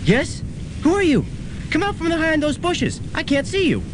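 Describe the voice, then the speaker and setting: A man's voice: huge pitch swings, moderate pace, full character voice. A man playing an eager, inquisitive young freshman who wants to be a star reporter, in a student radio comedy. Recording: noisy student radio recording with rumble.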